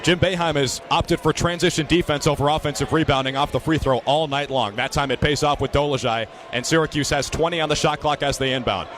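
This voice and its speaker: A man in his twenties doing live sports commentary and reporting in a projected, energetic, detailed announcer style. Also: sits high, background noise. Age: 20s.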